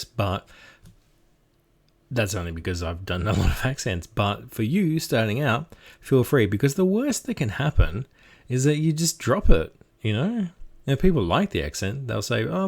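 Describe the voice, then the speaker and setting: A man's voice: on the low side, big pitch swings, moderate pace, darkish tone. An Australian man, a game master, giving casual tips in a chatty, self-deprecating, encouraging way. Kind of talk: casual instructional talk.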